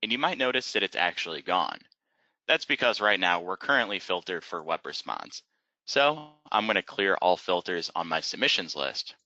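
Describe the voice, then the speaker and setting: Bright, low-voiced man giving software training. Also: big pitch swings, moderate pace, no echo, muffled recording. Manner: methodical, step-by-step explaining. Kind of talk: instructional webinar narration.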